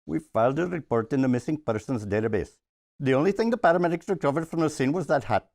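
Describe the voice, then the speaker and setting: Male doctor, brisk and reassuring, quick delivery, animated range, comedy table read, male actor. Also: fairly high pitch, dry recording, studio quality.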